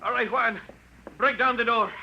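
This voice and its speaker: An actor in 1950s radio style playing a wily, unflappable hotel manager with an unhurried, emphatic delivery. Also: male voice, extremely high pitch, fairly even intonation, creaky, heavy background noise. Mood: baffled. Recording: dull old radio recording.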